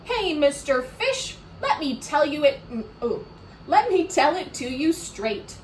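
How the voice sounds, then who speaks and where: A woman voicing a blunt, scolding octopus in a storybook, lecturing in a lively sing-song, recorded from a distance in an echoey room. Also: high voice, measured pace, a little hiss or hum, voice pushed up. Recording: roomy echo, distant mic.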